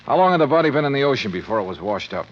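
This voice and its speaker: A man's voice: medium pitch, very animated, rapid-fire, close to an everyday voice. An actor playing a dry, worldly male insurance investigator in 1950s radio drama, narrating and questioning in a clipped, wry way. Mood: dry, probing. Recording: dull vintage radio recording, music behind.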